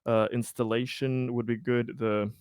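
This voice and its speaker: A man at a tabletop game, talking through options in a halting way, often repeating words before he lands a thought. His pitch is ordinary and middling. The recording is close and dry. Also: flat delivery, a little mellow, smooth, no room sound. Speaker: player. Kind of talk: casual tabletop game chat.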